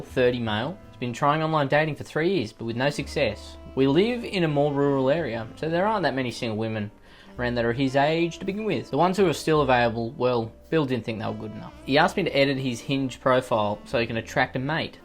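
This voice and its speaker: An Australian man reads online posts in an ordinary mid-range voice and keeps breaking off into sweary, sarcastic tangents. His talk rambles and circles back on itself as he riffs for laughs. A faint guitar plays behind him. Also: medium pitch. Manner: profane, rambling, sarcastic asides. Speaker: YouTuber reading and reacting to online posts. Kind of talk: comedic commentary on read-out posts.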